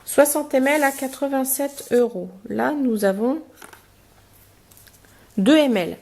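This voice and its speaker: A woman with a slightly higher voice talks casually through a beauty box, pausing and filling gaps as she goes. Her nose is a little blocked from a cold, and she sometimes reads out product descriptions. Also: darkish tone. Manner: chatty, hesitant, many fillers, reads product cards aloud. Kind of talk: casual unboxing review.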